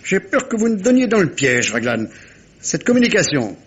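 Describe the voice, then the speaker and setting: An actor playing a shrewd, courteous, theatrical Belgian detective in a 1950s-style French radio mystery, with suave, teasing phrasing and a husky voice. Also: male voice, high-pitched, big pitch swings, normal speed, muffled recording, full character voice. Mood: grave, knowing.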